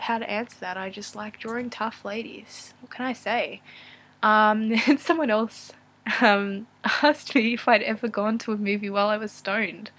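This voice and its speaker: A woman's voice: mid-range voice, fairly bright tone. Young Australian woman, rambling casual chat. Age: young adult. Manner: rambling, self-deprecating, apologetic. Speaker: vlogger answering viewer questions. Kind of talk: casual unscripted chat to camera.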